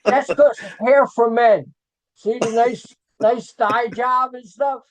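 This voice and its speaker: A man's voice: very high voice, fairly even intonation, slow pace. A man, interviewing guests over a video call in a chatty way. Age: 60s. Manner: chatty, with many fillers. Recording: dull lo-fi video call audio.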